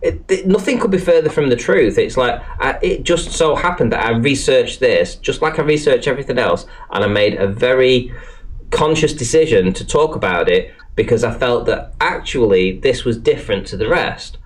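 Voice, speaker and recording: A man's voice: mid-range voice, lively intonation, moderate pace. A British man in casual, rambling online discussion, speaking earnestly and hesitantly with some swearing. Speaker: podcast panellist. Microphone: online group call microphone.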